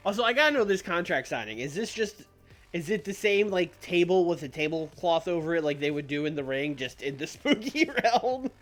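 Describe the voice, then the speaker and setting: A man's voice: a little high, expressive, a little fast, fairly bright tone. A man co-hosting a live stream in casual banter, with a wry, questioning way of talking. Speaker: streamer's co-host. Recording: background music under the voice. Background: chillhop video game music.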